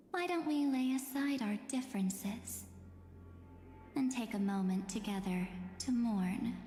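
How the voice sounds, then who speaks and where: A woman playing a calm, mocking, enigmatic game character, speaking in a deliberate, condescending and theatrical way. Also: mid-range voice. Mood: coolly teasing. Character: calm, mocking, enigmatic woman revealing cruel cosmic truths. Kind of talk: theatrical scripted game dialogue. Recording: echoey cavernous hall effect, music behind.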